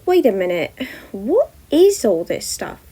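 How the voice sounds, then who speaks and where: A woman voicing a bubbly, easily distracted young female ruler who gushes excitedly. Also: medium pitch, measured pace, husky. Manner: gushing, excitable.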